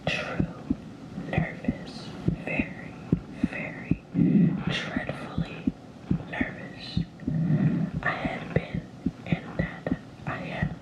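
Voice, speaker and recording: A woman plays a mad, obsessive murderer confessing in a gothic storybook tale. The breathy whisper is slow and insistent, with a roomy echo around it. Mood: nervous, insistent. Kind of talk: whispered dramatic ASMR story reading.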